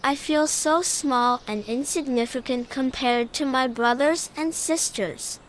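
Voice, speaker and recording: A woman's voice: high voice, measured pace, a little hiss or hum. A woman voicing a shy, insecure young male lion cub in a children's storybook, earnest and timid. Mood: sad, unsure.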